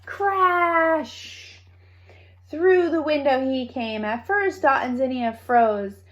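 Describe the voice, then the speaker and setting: Slow, expressive woman reading a storybook. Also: sits high, lo-fi sound. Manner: expressive, with playful asides to young listeners. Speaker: storybook reader. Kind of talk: children's read-aloud storytelling.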